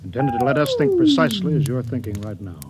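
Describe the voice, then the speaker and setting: Stern starship captain, old radio. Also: male voice, medium pitch, huge pitch swings, quick pace, very noisy. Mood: grim, wary. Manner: authoritative, clipped orders. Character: stern, wary starship captain, commanding and suspicious. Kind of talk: 1950s radio drama acting. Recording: vintage radio with music behind.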